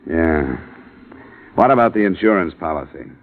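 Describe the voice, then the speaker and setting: An actor playing a wry, hard-boiled insurance investigator, clipped and dry, in 1950s radio detective style. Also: male voice, low voice, animated, normal speed. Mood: pressing, skeptical. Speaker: lead actor and narrator of a radio drama. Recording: narrow-band vintage radio recording, music behind.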